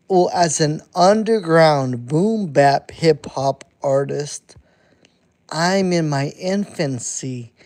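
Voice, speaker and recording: Man, 30s, fairly high voice, dark and mellow, unhurried, blunt, cusses a lot. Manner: blunt and profane, repeats himself. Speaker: underground hip-hop artist streaming to chat. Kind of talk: casual live-stream monologue.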